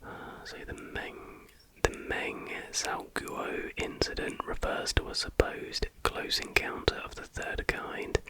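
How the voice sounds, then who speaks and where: Breathy whisper, ASMR host, informal, unhurried reading. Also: rough recording. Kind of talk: whispered ASMR, reading aloud with casual asides.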